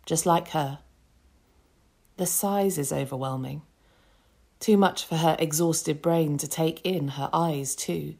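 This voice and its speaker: Slow, low woman reading fiction. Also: a little mellow. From England. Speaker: author reading her novel. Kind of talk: measured literary reading.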